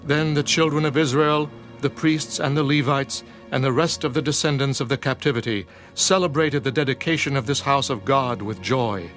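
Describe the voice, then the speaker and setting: A man of medium pitch reads scripture as an audiobook narrator. His delivery is measured and formal, with light music behind him. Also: steady tone, a bit bright.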